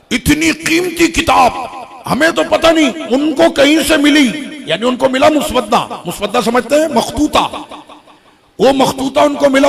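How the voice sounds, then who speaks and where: A man's voice: very high-pitched, vocal fry. A man preaching an impassioned sermon, stacking repeated phrases as he builds to his points. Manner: impassioned, repetitive rhetorical build-ups. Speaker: Islamic preacher.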